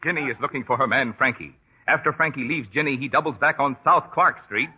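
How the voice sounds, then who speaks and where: A man's voice: medium pitch, fast talker, very noisy, natural voice. A man announcing an old-time radio comedy sketch in a mock-grand, theatrical way. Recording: old tinny radio broadcast over music.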